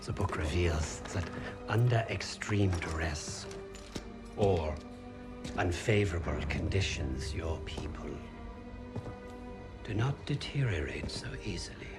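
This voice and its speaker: An actor playing an arrogant officer who interrogates prisoners in a smooth, condescending, measured way. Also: male voice, low-pitched, lively intonation. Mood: cold. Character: cold arrogant male Nazi officer interrogator. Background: music.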